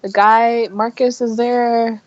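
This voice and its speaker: A woman's voice: fairly high pitch, little pitch movement, takes it slowly, a little mellow, highs cut off. A woman chatting casually as co-commentator on a gaming video, chipping in with short, teasing remarks. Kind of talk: casual chat.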